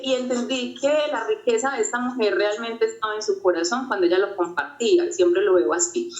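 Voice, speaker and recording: A woman speaks earnestly and reflectively, circling back over her own words as she shares a personal motivational story. Her voice sits at a middling pitch and rings around a roomy space. The recording sounds dull and slightly lo-fi. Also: darkish tone. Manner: earnest, reflective, repeats phrases. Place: home during quarantine.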